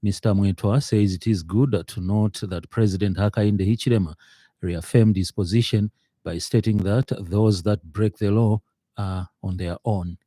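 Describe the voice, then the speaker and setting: Zambian radio news guy, low voice, really dark and mellow, dry studio sound. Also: steady tone, no room sound. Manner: formal, measured reading with occasional uh fillers. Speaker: newsreader.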